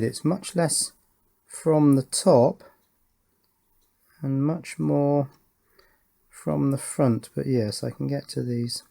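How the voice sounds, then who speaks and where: A man's voice: medium pitch, creaky, darkish tone. A man giving casual hands-on commentary on an electronics build, musing aloud and chatting to the viewer in a small workshop. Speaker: electronics hobbyist and video presenter. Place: small cluttered home workshop.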